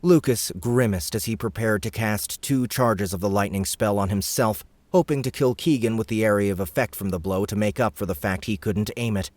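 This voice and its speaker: A man narrating a game-world audiobook in a steady, measured storytelling voice. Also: on the low side, no room sound. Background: background music.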